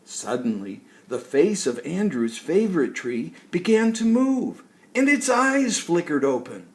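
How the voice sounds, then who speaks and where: Slow, animated older male storyteller. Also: on the high side. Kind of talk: expressive scripted children's story narration.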